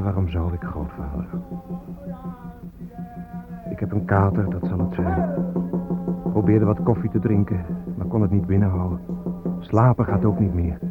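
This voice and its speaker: Man acting a weary, slow, low-voiced brooder. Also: natural voice. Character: weary hungover man, disillusioned, confiding in his grandfather. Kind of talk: radio drama monologue. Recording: tinny, phone-like recording with music behind. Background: music.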